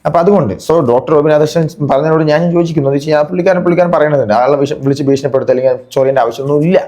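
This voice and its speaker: A man's voice: fairly high pitch, rapid-fire, dark tone. A man giving chatty, casual reaction commentary as a video host, often checking that the listener follows.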